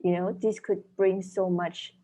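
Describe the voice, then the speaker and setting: A woman with a Malaysian accent, a native Chinese speaker, answering interview questions as an author in a thoughtful, measured way, searching for words. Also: medium pitch, little pitch movement, warm tone, rough recording. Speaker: author and dentist guest.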